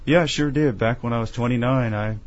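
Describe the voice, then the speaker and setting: A man from Tennessee, an author being interviewed, telling his story in a measured, earnest way. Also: medium pitch, highs cut off. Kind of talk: conversational interview.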